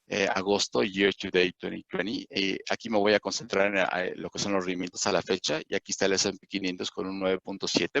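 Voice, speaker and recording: A man with a Mexican accent talks through slides in a webinar, his low voice gravelly with fry. He hesitates often and restarts his words. The sound is dry and a little dull, like a computer mic on a call. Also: a bit bright, no room sound. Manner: halting, many fillers and restarts. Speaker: webinar presenter on investment indices. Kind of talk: live webinar presentation over slides.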